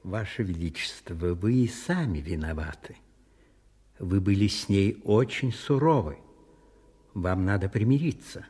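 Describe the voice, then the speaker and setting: An actor playing a scheming, commanding French cardinal in a radio drama, measured, courtly and insinuating. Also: male voice, low voice, a little mellow, slightly rough. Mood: courtly, persuasive.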